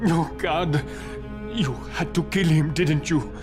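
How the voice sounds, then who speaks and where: An actor playing a wounded, grieving man in a video game, speaking in a halting, pained, desperate way. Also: on the high side, steady tone. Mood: grieving, bitter. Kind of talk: video game cutscene acting. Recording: loud game music behind.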